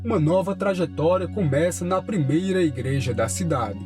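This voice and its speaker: Male voice-over narrator, slightly high, formal and measured, guitar music under. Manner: measured, formal documentary delivery. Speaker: documentary narrator. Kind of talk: scripted narration.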